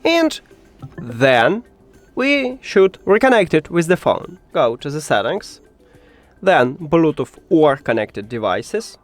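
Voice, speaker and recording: A man's voice: a little high, no echo. A man presenting a step-by-step how-to tutorial in an instructive way, with non-native English phrasing.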